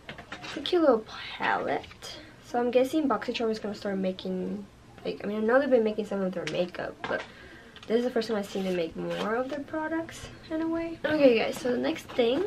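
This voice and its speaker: Chatty young woman, a bit hoarse. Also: on the high side, slight background noise. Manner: chatty, lots of "like" and "you guys". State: losing her voice. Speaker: beauty vlogger. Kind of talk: casual unboxing review. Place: bedroom with makeup shelves, indoors.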